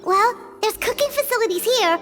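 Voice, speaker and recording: A tiny childlike fairy companion for a video game, excitable and squeaky. The voice is piercingly high and bright. It rings with long reverb. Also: female voice, normal speed, faint music behind. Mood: alarmed.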